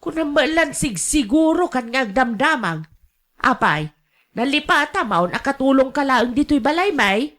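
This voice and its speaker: Woman acting older filipino mom, high voice, bossy and nagging, radio drama gambler type. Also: expressive, moderate pace, a bit bright. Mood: irritated, scolding. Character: brash, scolding older mother who gambles and begs money.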